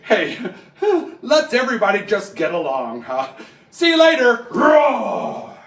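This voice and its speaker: Puppet-show lion, played by a man: high, swooping, exuberant yet bashful former bully. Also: huge pitch swings, takes it slowly, creaky, a bit bright, heavily acted. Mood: friendly, boisterous. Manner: exuberant, exclamatory. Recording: roomy, distant microphone.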